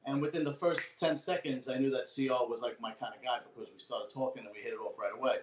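A man's voice: mid-range voice, steady tone, fast talker. A man with an old-school Brooklyn accent, a podcast guest chatting casually and telling long stories with loose swearing. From Brooklyn, New York. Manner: talkative storyteller, swearing freely. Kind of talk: casual chat. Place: home room, remote call. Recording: echoey room, dull lo-fi sound.

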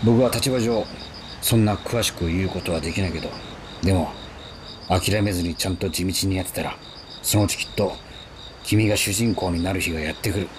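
An actor playing a kindly, apologetic middle-aged man from the future in a radio drama, speaking softly and reassuringly. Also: low-pitched, fairly quick, background noise, natural voice.

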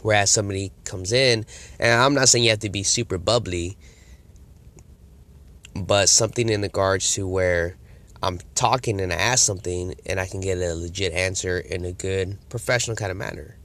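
Deep-voiced man with a cold. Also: moderate pace, fairly bright tone. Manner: conversational, acts out customer and salesperson lines. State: congested from a cold. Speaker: sales coach. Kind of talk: casual instructional talk to camera.

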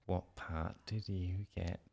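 A man's voice: low voice, level tone, takes it slowly, creaky, darkish tone, exaggerated voice. Man acting a smug, whiny know-all schoolboy. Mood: smug, gloating. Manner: whiny, gloating. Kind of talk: children's audiobook character voice.

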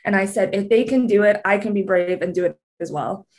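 A woman's voice: mid-range voice, a little fast, a little mellow. A young adult woman with a Canadian accent, a podcast guest chatting candidly and laughing as she tells her story. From Canada, British Columbia. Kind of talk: casual chat.

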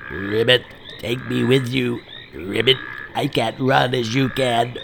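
A man voicing a male frog in a well who croaks "ribbit" between his phrases, in a storybook reading. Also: medium pitch, slow, a bit bright, slight background noise. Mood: urgent.

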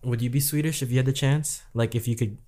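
A young woman, co-hosting a casual podcast. Her voice sits far lower than most women's and sounds dark and mellow. She talks frankly and jokes drily, leaning on "like" as she goes. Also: steady tone, quick pace. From United States. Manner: frank, dry and joking, with many "like" fillers. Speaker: podcast co-host. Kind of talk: casual chat among friends.

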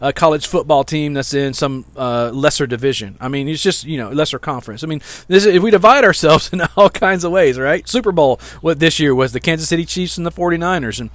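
A man's voice: a little high, a little fast, few pauses, fairly bright tone, dry recording. A man in his forties with a drawl talks in a slightly raised voice, restarting phrases and poking fun at himself as he preaches on his podcast. The sound is dry and close, with almost no room around it. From Louisiana, American South. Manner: rambling, repeats words, self-deprecating humor. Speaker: podcast host. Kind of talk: sermon-like podcast talk. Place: outdoors.

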